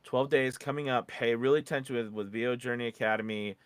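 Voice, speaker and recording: A man's voice: medium pitch, fairly even intonation, a little fast, clean tone, no echo. A man co-hosting a casual livestream chat, speaking in an informal, enthusiastic way. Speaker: host.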